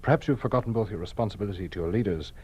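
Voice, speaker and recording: An actor playing an officious party official in an old-time radio drama, with condescending, bureaucratic politeness. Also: male voice, fairly low pitch, rapid-fire, a little mellow, breathy edge, dull audio. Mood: placating, stern. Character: middle-aged male party official, officious, falsely reasonable interrogator. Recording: vintage radio drama recording.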